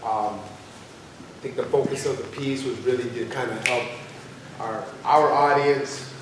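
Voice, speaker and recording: A man moderating an informal community discussion, speaking haltingly as he searches for words. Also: medium pitch. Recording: very echoey, distant, with faint noise.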